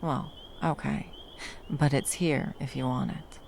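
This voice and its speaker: A woman playing a battle-worn monster hunter, gruff but tenderly protective, in an intimate ASMR roleplay with soft teasing and drops into whispers. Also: a bass voice, fairly even intonation, slow, slight background noise, exaggerated voice. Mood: caring, relaxed.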